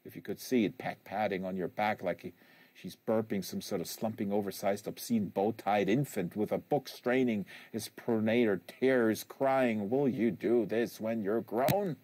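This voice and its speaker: Guy doing a bitter rambling dad from a novel, needling his kid, halting, soft mellow tone, dry close sound. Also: on the low side, no echo, lightly acted. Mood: bitter disgust. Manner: halting, repetitive, goading. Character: bitter, needling, rambling father berating his tearful son. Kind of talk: dramatic novel reading, monologue.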